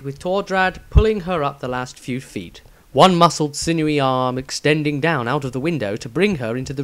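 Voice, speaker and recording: Male narrator, medium pitch, for dramatic fantasy audiobook storytelling.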